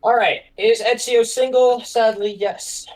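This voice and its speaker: A man's voice: very high-pitched, fairly even intonation, unhurried, a little mellow, recorded from a distance, low-quality recording. Joking man reading out fan questions. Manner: joking, self-deprecating, reads out questions. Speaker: co-host. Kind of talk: casual chat. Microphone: mic set far away, noise-suppressed.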